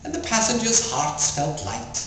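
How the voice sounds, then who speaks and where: A man's voice: fairly high pitch, big pitch swings, normal speed, fairly bright tone. A man performing a theatrical poetry recital in a grandiose, declamatory, mock-solemn manner. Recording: very echoey, distant mic, faint noise.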